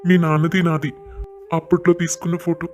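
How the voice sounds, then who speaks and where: A man's voice: on the high side, little pitch movement, brisk, a little mellow, soft background music, close to an everyday voice. A man playing a sorrowful, earnest older father in a scripted audio story drama. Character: sorrowful older father revealing a long-hidden truth.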